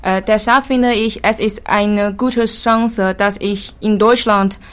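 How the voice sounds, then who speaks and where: Slow Chinese-accented young woman. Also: mid-range voice, fairly even intonation, clear, resonant tone. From China. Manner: halting, many hesitation fillers. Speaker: exchange student. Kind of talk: interview answers in learner German.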